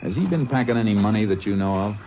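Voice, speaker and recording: An actor playing a steady, matter-of-fact lawman who narrates his case in an old radio drama, with clipped delivery. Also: lowish voice, quick pace, highs cut off, a little hiss or hum. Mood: terse. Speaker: narrator and lead. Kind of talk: 1950s radio drama acting.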